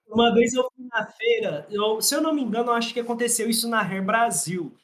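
A man's voice: extremely high pitch, brisk, fairly bright tone. A man from Minas Gerais with a mild Mineiro accent, a podcast guest telling stories and explaining salon finances, repeating his points for emphasis. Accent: mild Mineiro Brazilian Portuguese. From Minas Gerais, Brazil. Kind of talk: casual podcast conversation, explaining.

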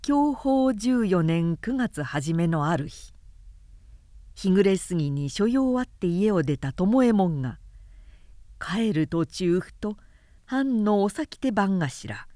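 A woman reads a historical story as narrator. Her pitch is medium and her delivery is measured and carefully articulated. The recording is dry, with little room sound. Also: very animated, mellow, no echo. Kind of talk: scripted literary narration.

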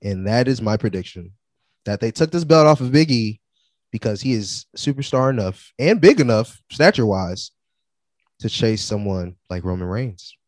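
A man co-hosting a casual wrestling chat, opinionated and animated, dropping slang as he rants. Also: on the low side, normal speed, no room sound. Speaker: wrestling podcast co-host.

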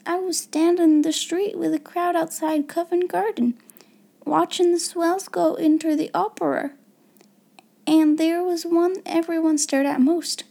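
A woman's voice: very high voice, steady tone, slow pace, gravelly, few pauses, darkish tone, voice pushed up, heavily acted. A woman voicing a timid, frightened young servant girl in a storybook, stammering and deferential with uneducated speech. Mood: awed.

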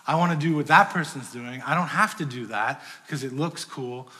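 A man's voice: mid-range voice, normal speed, bright, forceful tone. A man preaching a sermon to his church in a conversational way, rising and dropping in volume. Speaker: pastor. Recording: long hall reverb.

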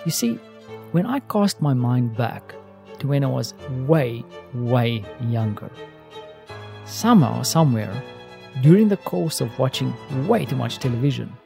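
A man hosting a podcast, narrating in a reflective, deliberate way with dramatic pauses. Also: medium pitch, big pitch swings, gravelly, warm tone. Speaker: podcast host. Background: music with strings under the voice.

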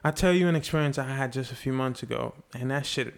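A man's voice: mid-range voice, fast, vocal fry. A young man from Haiti, a podcast guest, telling a story earnestly in casual conversation and swearing freely.

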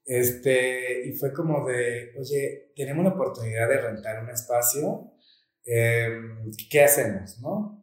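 A man's voice: mid-range voice, slow. A man from Colombia raised in Venezuela, with a Venezuelan accent, chatting casually as a podcast guest and acting out the people in his stories. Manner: animated storyteller, acts out quoted dialogue. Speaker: smoke shop co-owner guest. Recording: echoey room.

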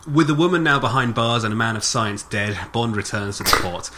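A man's voice: mid-range voice, a little fast, background noise. A man co-hosting a casual film review chat, recapping the plot with sarcastic jokes, swearing and frequent laughter. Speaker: podcast co-host.

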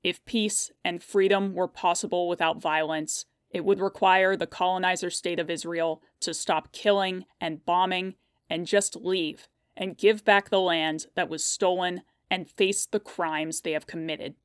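A woman's voice: fairly low pitch, steady tone, measured pace, no echo, studio quality. A woman delivering a scripted political statement in a firm, declarative manner.

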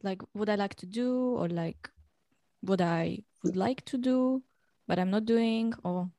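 A woman's voice: mid-range voice, unhurried, dark tone, dry recording, studio quality. A woman interviewing a guest, asking questions and murmuring agreement as she listens. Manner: attentive, frequent agreeing murmurs.

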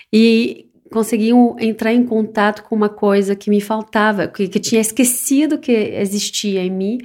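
A woman's voice: mid-range voice, a little mellow. A woman from the south of France with a French accent, speaking Portuguese as a guest in a casual interview, thoughtful and searching for words. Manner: reflective, thoughtful, with restarts and self-corrections. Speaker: podcast guest, personal development coach. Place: São Paulo.

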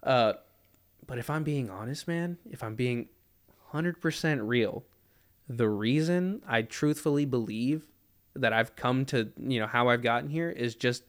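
Smooth-voiced young man, chatty and swearing. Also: medium pitch, measured pace. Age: 20s. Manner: animated, swearing, self-mocking, says "dude" a lot. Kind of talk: casual chat.

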